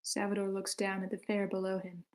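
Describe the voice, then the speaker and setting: A woman's voice: medium pitch, monotone, a little fast, a little mellow. A woman reading stage directions as the narrator of a screenplay table read.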